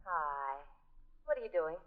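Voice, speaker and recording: Lively, teasing sweetheart. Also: female voice, sits low, unhurried. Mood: teasing, sweet. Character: teasing, affectionate girlfriend on the telephone. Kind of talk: 1940s-50s radio drama acting. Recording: dull, lo-fi old radio recording.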